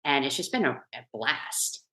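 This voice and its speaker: Guest woman, middle-aged, low bright voice, chatty and earnest, recorded in an echoey room. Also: lively intonation, unhurried. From New York. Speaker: guest, coach and author. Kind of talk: casual podcast interview.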